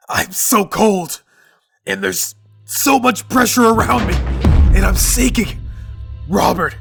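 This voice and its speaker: A man's voice: sits high, vocal fry, pitched above the performer's own voice. A man voicing a panicked adult male pilot who relives a childhood drowning, talking in a frantic, pleading way.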